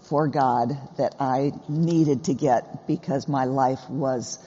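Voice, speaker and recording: A woman's voice: rumbling low, steady tone, slow, warm tone. A Swedish-born woman raised in the U.S. being interviewed as a guest scholar onstage, often laughing at herself. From Sweden, raised in the U.S. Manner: laughs easily, self-deprecating. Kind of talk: live stage interview. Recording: dull sound with long hall reverb.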